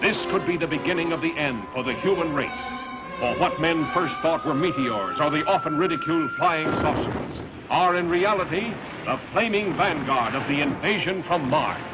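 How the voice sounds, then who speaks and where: An actor playing a grave, dramatic announcer of doom in vintage film trailer narration. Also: male voice, on the high side, huge pitch swings, moderate pace. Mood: grave, urgent. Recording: dull old film soundtrack. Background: loud music.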